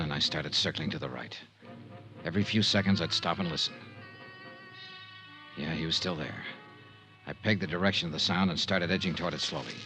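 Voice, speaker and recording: An actor playing a tough, wry insurance investigator in a 1950s radio drama, speaking in clipped, slangy lines. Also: male voice, low-pitched. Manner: clipped, slangy, hard-boiled. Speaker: lead actor and narrator. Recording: noisy vintage radio recording.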